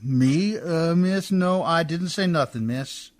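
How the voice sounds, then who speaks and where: A man acting in a radio play as a rough, good-natured, hard-drinking Canadian riverboat skipper with a folksy, deferential, ungrammatical drawl. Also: on the high side, takes it slowly, background noise. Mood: sheepish, folksy.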